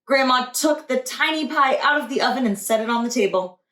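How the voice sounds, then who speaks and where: A woman's voice: high voice, brisk, bright, forceful tone. A woman, a preschool teacher, reading a children's storybook aloud. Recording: echoey room.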